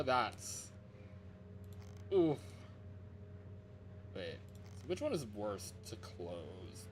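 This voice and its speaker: A man giving live, excitable gaming commentary as he plays, thinking aloud as he goes. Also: medium pitch, noisy background. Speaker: livestreamer playing a fangame.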